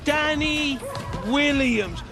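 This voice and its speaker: A man's voice: extremely high pitch, drawn-out words, music in the background, heavily acted. An actor playing a cheeky young British footballer who teases his best mate in a video game cutscene. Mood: teasing. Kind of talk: video game cutscene acting, naturalistic banter.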